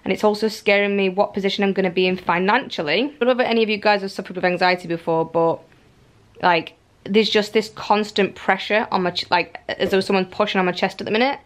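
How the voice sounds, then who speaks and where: A woman's voice: mid-range voice, fairly even intonation, fast talker. A young woman in her twenties, talking to camera at home in a casual, rambling vlog, full of likes and ums. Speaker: vlogger. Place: living room at home, indoors.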